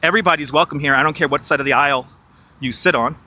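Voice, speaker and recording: A man's voice: on the high side, racing, bright, forceful tone. Chatty New man streaming outdoors. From New York. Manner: chatty, repeats himself, reads and answers viewer chat. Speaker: live streamer. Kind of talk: walking live stream chatting with viewers. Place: outdoors along a river. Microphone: wireless clip-on mic.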